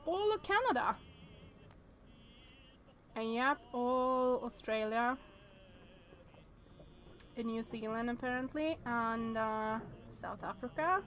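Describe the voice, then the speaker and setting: A woman in her thirties talks through a visual novel on stream, her voice sitting fairly high. She thinks aloud, trailing off and restarting her sentences as she goes. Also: animated, slow, pauses often, a bit bright. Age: 30s.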